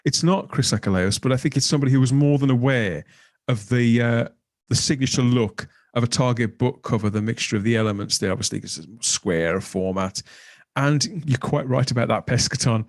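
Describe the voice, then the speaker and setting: Middle aged guy, normal pitch, chatty podcast guest, keen n rambly. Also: fairly quick. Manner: enthusiastic, rambling, reminiscing. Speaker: podcast guest, graphic designer. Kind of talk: casual chat.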